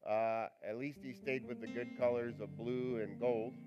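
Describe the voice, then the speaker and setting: A male pastor with a raspy voice, preaching a live church sermon in a chatty, joking, rambling way. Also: medium pitch, fairly even intonation, unhurried, highs cut off, music in the background. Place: church sanctuary.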